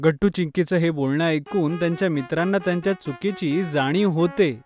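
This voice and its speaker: A man's voice: a little high, a little fast, a little mellow. Man narrating a children's story over music.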